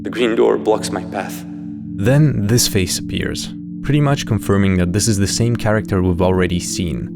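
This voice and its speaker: A man's voice: on the low side, normal speed, creaky. A man giving scripted video commentary as a host, with casual, wry asides. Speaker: host of a horror series breakdown video. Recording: music behind the voice.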